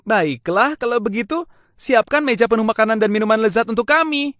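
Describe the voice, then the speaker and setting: A woman's voice: fairly high pitch, lively intonation, fairly quick, a bit bright, dry recording, clean studio sound. A woman voicing a plucky, insistent small boy hero in a fairy tale. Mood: earnest.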